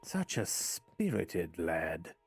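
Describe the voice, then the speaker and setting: An actor playing a dutiful, courteous butler and confidant in an audio drama, speaking formally and with measured words. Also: male voice, sits low, sing-song, over music, exaggerated voice. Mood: courteous, reassuring.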